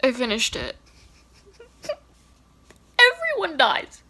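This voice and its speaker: A young adult woman giving a casual, animated book recap as a vlogger, dramatic and slangy with bursts of mock shock. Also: high voice, slow pace, breathy edge.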